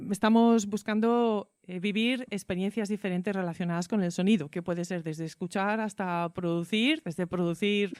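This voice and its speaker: Mellow-voiced Spanish woman, dry sound. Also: medium pitch, smooth, no room sound. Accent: Spain. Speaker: teacher and radio workshop host. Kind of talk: informal spoken introduction and interview.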